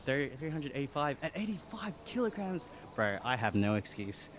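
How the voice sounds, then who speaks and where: Casual male streamer, Australian accent, mid-range voice, chatty and slangy, talking to chat over clear background noise. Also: medium pitch, sing-song, normal speed, fairly bright tone. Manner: chatty, slangy, reading chat aloud, thanking viewers. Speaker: live streamer.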